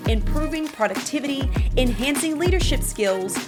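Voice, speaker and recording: A woman's voice: high-pitched, expressive, moderate pace. Upbeat woman host over music. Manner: upbeat, enthusiastic. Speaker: podcast host. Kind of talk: podcast interview hosting.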